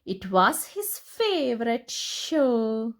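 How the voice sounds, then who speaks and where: Lady doing kids story time, higher voice, really animated, reads simple lines expressively. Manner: expressive, deliberate, simple phrasing for young children. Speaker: storyteller reading picture books aloud. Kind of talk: children's read-aloud storytelling.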